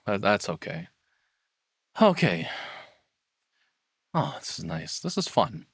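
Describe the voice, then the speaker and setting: A man's voice: on the low side, big pitch swings, slow, vocal fry, husky, no room sound, clean studio sound. A man hosting a livestream, chatting casually to viewers in a rambling, self-deprecating way. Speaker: livestream host. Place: own room. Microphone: processed streaming microphone, close and dry.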